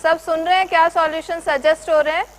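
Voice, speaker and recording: A woman with an Indian English accent teaches a workshop in a lecture hall, her voice high and bright. She keeps questioning the audience and drops into Hindi now and then. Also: little pitch movement, rapid-fire. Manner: switches between English and Hindi, quizzes the audience. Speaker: workshop trainer. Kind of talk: interactive classroom teaching.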